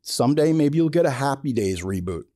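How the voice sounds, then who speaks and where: Animated, mellow-voiced man talking bluntly. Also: medium pitch, brisk, no echo, studio quality. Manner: emphatic, blunt, colloquial. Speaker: podcast host. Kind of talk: opinionated casual monologue about filmmaking.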